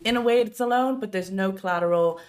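A woman's voice: mid-range voice, quick pace. A woman in casual podcast conversation, explaining things informally and thinking aloud as she goes. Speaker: podcast speaker, business owner.

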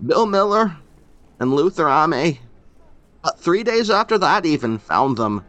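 A man voicing a grieving older rural man who talks in halting, choked bursts and breaks off mid-sentence. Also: a little high, big pitch swings, a bit bright, dry recording, voice pushed up. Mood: grief-stricken.

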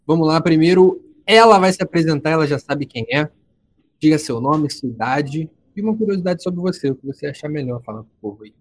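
A man's voice: fairly high pitch, animated, brisk. A man of twenty hosting a casual podcast from home, joking and teasing his friends. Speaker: podcast host.

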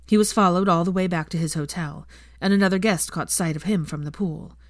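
A woman reading scripted fiction as an audiobook narrator, in a measured, expressive way. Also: lowish voice, no room sound, studio quality.

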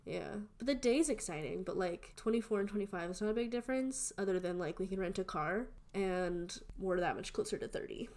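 A woman's voice: medium pitch, a little fast, hardly any pauses, a little mellow. A woman in her twenties co-hosting a podcast in a casual chat, telling stories in an informal way peppered with "like". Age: 20s. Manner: chatty, informal storytelling with frequent "like". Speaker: podcast co-host.

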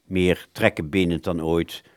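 A man's voice: low-pitched, fairly even intonation, unhurried, no room sound, studio quality. An actor playing a roguish, self-satisfied clarinettist, telling his own story theatrically in a radio play. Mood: self-pitying, smug.

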